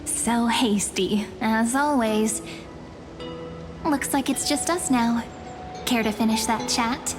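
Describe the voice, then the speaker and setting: An actress playing a gentle, teasing young woman in a video game, speaking softly and serenely. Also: on the high side, takes it slowly, pauses often. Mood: gently teasing. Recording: background music under the voice.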